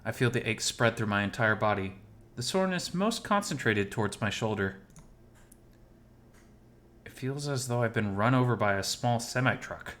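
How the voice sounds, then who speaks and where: A man voicing a lovesick, gently self-mocking male animal character in first-person visual novel narration, intimate and wistful. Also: medium pitch, animated, normal speed, long pauses, lightly acted. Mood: pained, puzzled.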